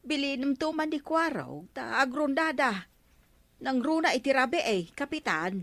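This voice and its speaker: A fretful Ilocano laundrywoman, complaining, fairly high voice, radio comedy. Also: very animated, moderate pace, fairly bright tone, dry recording, full character voice. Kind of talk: comic radio drama acting.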